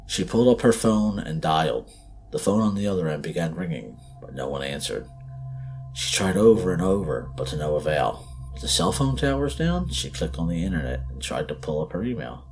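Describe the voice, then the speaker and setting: A man reading a scripted horror story as narrator, in a dramatic, suspenseful, emphatic way. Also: deep voice.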